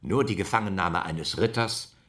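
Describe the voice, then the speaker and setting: An actor playing an emphatic expert on knights, commentating in a radio play. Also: male voice, deep voice, moderate pace, fairly bright tone.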